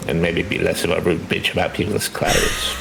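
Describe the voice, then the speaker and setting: A man co-streaming a game with casual banter. His voice sits low with a crackly fry, and music plays behind him. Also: flat delivery, normal speed.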